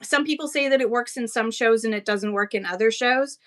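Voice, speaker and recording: A woman with a Canadian accent hosting a casual livestream lesson for sellers, chatty and quick to laugh between points. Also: a little high, fairly bright tone.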